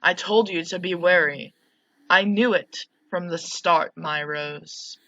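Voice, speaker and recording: A woman's voice: on the low side, slow pace, bright, forceful tone, no echo, lo-fi sound. A woman voicing a possessive, manipulative mother from a visual novel in a controlled, cloying manner. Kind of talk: visual novel dialogue read aloud.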